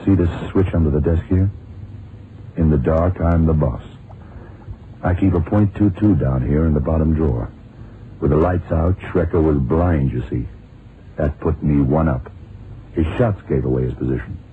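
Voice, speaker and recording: A self-assured radio detective with an extremely low voice. He is unruffled and dryly explanatory, as in a crime drama. The old recording is narrow and muffled, with some noise. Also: normal speed, pauses often. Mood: cool, dry. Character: cool, self-assured detective who outwits gunmen.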